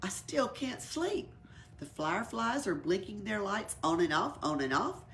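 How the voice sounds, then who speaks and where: A woman's voice: medium pitch, sing-song, measured pace, slight background noise. A woman voicing a grumpy, demanding male gecko who complains insistently in a storybook reading. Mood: insistent complaining.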